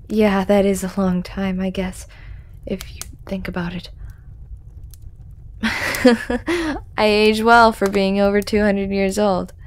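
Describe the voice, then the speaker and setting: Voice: mid-range voice, vocal fry, a little hiss or hum. Someone voicing a shy, ageless hooded storyteller man. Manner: hesitant and stammering, many ums and restarts; soft-spoken, often whispering. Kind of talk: ASMR audio roleplay.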